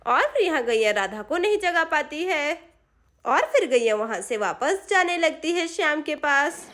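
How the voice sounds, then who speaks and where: Lady narrating a kids story, super high voice. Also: big pitch swings, unhurried, fairly bright tone, slight background noise. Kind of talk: scripted children's story narration.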